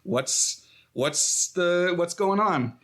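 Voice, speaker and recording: A man with a slightly high voice co-hosts a casual film discussion. He chimes in with informal, colloquial remarks. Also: animated, extremely slow, fairly bright tone.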